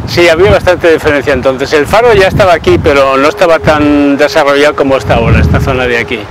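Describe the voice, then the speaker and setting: A man's voice: a little high, quick pace. A middle-aged man from Aragon with an Aragonese Spanish accent, chatting casually as he shows a reporter around. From Aragon, Spain. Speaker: expatriate interviewee showing a reporter around. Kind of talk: casual on-location interview. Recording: on-location, faint urban traffic noise.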